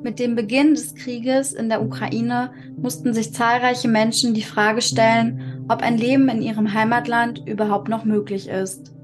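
A woman with a fairly high voice hosts a podcast interview. She reads her introduction smoothly, then asks patient follow-up questions. She often sums up what her guest has just said. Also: level tone, moderate pace, over music. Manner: gently prompting and rephrasing the guest's answers. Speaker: podcast host. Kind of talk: interviewing and scripted narration.

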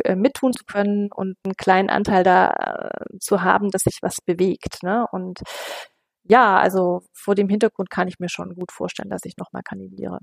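A woman's voice: medium pitch, vocal fry, a little mellow, no echo. A woman in her fifties from western Germany, speaking as an interview guest in a thoughtful, conversational way and searching for her wording. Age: 50s. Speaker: interview guest, local politician.

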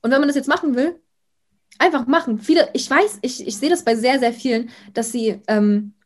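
A young woman, an influencer, chatting casually in an interview, outgoing and talking in quick bursts with many fillers. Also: high voice, a little mellow. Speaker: influencer guest.

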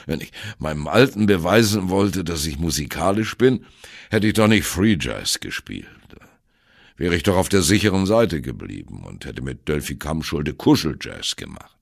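An older free-jazz drummer in a radio play, reminiscing with bitter irony. His voice is extremely low and husky, and it swings expressively as he tells his story. The sound is dry and close. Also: very animated, normal speed, no echo, full character voice. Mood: scornful. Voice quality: gravelly, husky. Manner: sardonic, conversational storytelling. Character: wry, embittered old German free-jazz drummer reminiscing. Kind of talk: dramatic first-person radio play monologue.

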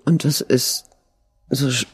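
A woman's voice: a bass voice, steady tone, slow pace, slightly rough, dry recording. A woman from the with a cold, chatting casually and rambling on at length, on a video call. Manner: chatty, self-pitying, rambling. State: has a cold. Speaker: co-host. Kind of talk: casual chat.

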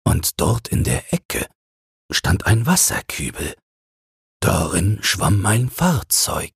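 An old seafaring husband acted by a male reader looks back fondly in a bedtime storybook tale. He speaks very low and slowly, with a crackling, husky edge. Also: no room sound, studio quality, full character voice. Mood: wistful. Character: old retired sailor, affectionate, reminiscing husband. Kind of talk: audiobook fairy-tale character reading.

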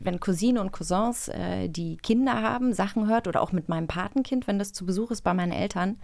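A woman's voice: medium pitch, fast talker, vocal fry, a little mellow. A woman co-hosting a podcast in a casual, conversational style. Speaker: podcast co-host.

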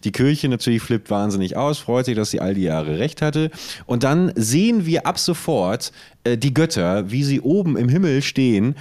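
A man hosts a podcast in casual comedic chat, telling stories in an animated, slangy way. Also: medium pitch, moderate pace. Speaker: podcast co-host.

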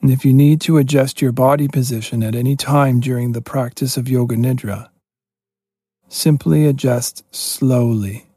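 A man leading a guided meditation as a yoga teacher, giving gentle, spacious instructions with pauses. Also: mid-range voice, steady tone, breathy edge, dry recording, clean studio sound.

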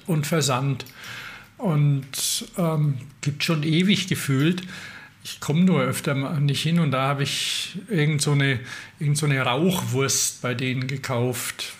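A man co-hosting a casual chat in a loose, meandering way, trailing off into vague asides. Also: on the high side.

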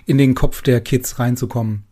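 A man's voice: medium pitch, steady tone, moderate pace, dark tone. A man chatting as an interviewer, putting his points tentatively and often checking for agreement. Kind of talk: casual interview chat.

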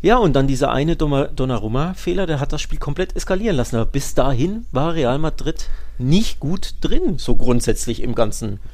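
A man with a medium-pitched voice gives casual football analysis as a podcast co-host. He explains things in a measured way, piling up short restatements to stress his point. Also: animated, darkish tone.

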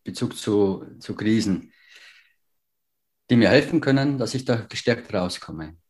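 A man interviewing a guest, asking calm, brief questions and giving soft affirmations. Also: on the low side, unhurried.

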